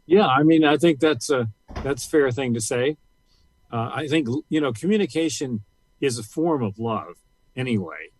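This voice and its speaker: An older man from Missouri and Louisiana, a guest author, talking in a thoughtful, measured way with frequent restarts. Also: mid-range voice, expressive, no room sound. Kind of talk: casual interview talk.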